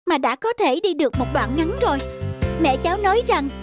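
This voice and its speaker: A woman's voice: very high-pitched, animated, fairly quick, loud music behind the voice. A woman voicing Liên, a brave young girl recovering in hospital, speaking sincerely and gratefully.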